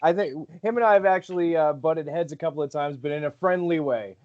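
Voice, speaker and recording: A man's voice: a little high, a little fast, darkish tone, highs cut off, a little hiss or hum. A man from Rhode Island, a professional wrestler, answering interview questions in a friendly, polite way with easy laughs. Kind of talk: casual interview.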